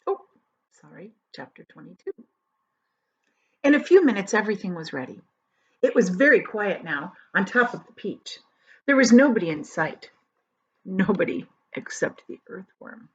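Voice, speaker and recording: A woman teacher reading a children's book aloud and pausing to explain it. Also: on the low side, breathy edge, no room sound. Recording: dull, lo-fi audio.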